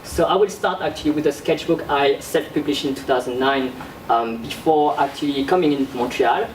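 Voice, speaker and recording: A young man gives a casual talk about his own artwork, pausing and restarting often. His voice sits a little high. The room is echoey and he is picked up from across it, with a faint hum behind him. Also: recorded from a distance. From born in South Korea, raised in France. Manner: halting, many fillers and restarts. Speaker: visual artist presenting his work. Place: classroom with projector screen. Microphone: far camera at back of room. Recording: roomy echo from across the room.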